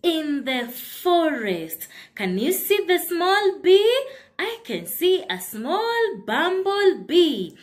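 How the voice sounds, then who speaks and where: Very slow, high-voiced woman teaching preschoolers. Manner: sing-song, repeats phrases, asks questions of children. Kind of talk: children's lesson and storytelling, addressing preschoolers.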